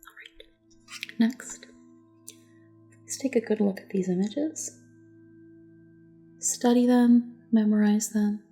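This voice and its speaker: A woman speaks in a soft, dark, relaxed ASMR voice that often slips into a whisper. Her delivery is gentle and reassuring, with a noticeable creak as she gives unhurried instructions like a calm examiner. Music plays behind her. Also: fairly high pitch. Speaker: ASMR roleplayer as a test examiner. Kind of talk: soft-spoken ASMR personal attention roleplay.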